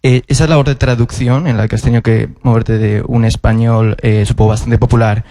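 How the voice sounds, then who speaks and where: A man's voice: mid-range voice, steady tone, fairly quick, mellow, no echo, studio quality. A man with a Spanish accent from Spain asking long, carefully built questions from the audience, restarting often. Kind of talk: formal question from the floor. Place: auditorium.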